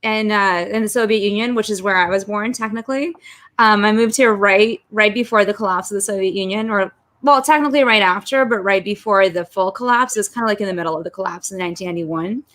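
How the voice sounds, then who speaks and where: A woman in her thirties from Russia, a native Russian speaker, talking as a guest on a video-call interview in a chatty, quick way. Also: mid-range voice, fairly bright tone. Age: 30s.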